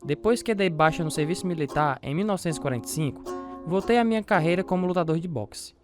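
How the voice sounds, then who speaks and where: A man's voice: a little high, animated, fast, lightly acted. A male actor with a Brazilian accent playing an earnest, devout boxer and young father in a reflective radio drama, telling his own story. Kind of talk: radio drama acting with first-person narration. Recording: guitar music under the voice.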